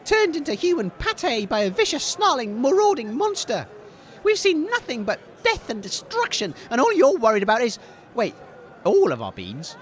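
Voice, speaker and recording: A man's voice: very high-pitched, wildly swooping pitch, normal speed, full character voice. A man playing an earnest, easily horrified radio documentarian, florid and melodramatic, in a comedy audio drama. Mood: appalled. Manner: melodramatic, wailing, florid wording.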